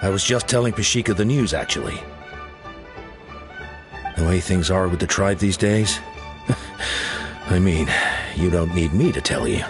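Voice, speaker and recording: A man playing a gruff but fair boss among warriors in a video game. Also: low-pitched, lively intonation, measured pace, long pauses, over music. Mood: persuasive.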